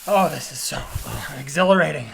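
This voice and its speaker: A man improvising a comedy scene as a bloodied, aroused woman hunter, speaking in hesitant, breathy awe. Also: a little high, a little fast, a bit bright. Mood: exhilarated. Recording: noisy outdoor nature soundscape behind voice.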